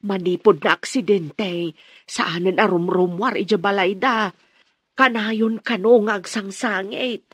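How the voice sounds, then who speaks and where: A woman's voice: mid-range voice, fairly even intonation, measured pace, slightly rough, no echo. An actress with a Filipino accent playing a worried, plaintive older mother in a radio drama.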